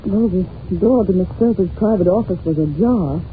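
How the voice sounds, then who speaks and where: A woman's voice: lowish voice, normal speed, close to an everyday voice. Proper young English governess female actor, earnest and anxious, vintage radio drama, muffled narrow sound with some noise. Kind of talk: old-time radio drama acting. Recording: muffled, noisy old-time radio recording.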